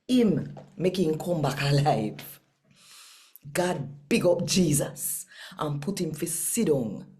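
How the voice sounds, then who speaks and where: A woman with a Jamaican accent hosting and reading scripture in an expressive, deliberate storytelling manner. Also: low voice. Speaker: host and scripture reader.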